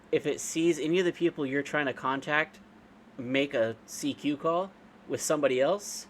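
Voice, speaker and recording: A man with a mid-pitched voice walks through a software tutorial in an informal, unscripted way. He explains things casually, one step at a time. Ambient noise sits behind his voice in what sounds like a home recording. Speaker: amateur radio operator giving a software tutorial. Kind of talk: informal screen-share tutorial, unscripted walkthrough.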